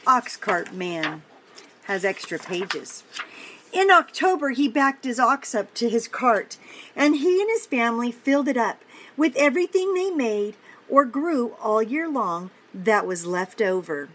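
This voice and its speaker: Older woman reading to kids, slightly husky. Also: on the high side, sing-song, a little slow, a bit bright, low-quality recording. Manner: encouraging, explains pictures simply to young listeners. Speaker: storyteller reading to children. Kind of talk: picture-book read-aloud with chatty asides to children.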